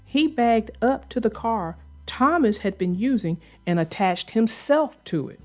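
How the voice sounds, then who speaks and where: A woman narrating a children's book in an expressive read-aloud storytelling style. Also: fairly high pitch, a little slow, faint music behind.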